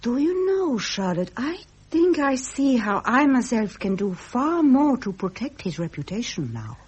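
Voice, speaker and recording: A woman playing a gracious, devoted princess in a radio drama, speaking in an earnest, refined, measured way. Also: a little high, very animated, a little mellow, husky, highs cut off, exaggerated voice. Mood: earnest resolve. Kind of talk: 1970s British radio drama acting.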